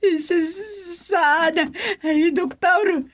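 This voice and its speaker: A woman's voice: very high voice, fairly even intonation, extremely slow, heavily acted. A woman with an Ilocano accent acting an anguished mother in a radio drama. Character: anguished mother in hospital melodrama.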